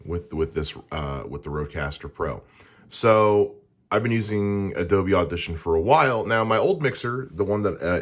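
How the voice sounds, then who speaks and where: A deep, low-pitched man speaks into a dynamic broadcast mic in his home studio. He explains things in an easygoing way and often pauses on "uh" as he goes, like a podcast host showing off his setup. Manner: relaxed and explanatory, with frequent "uh" fillers. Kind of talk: casual gear unboxing walkthrough.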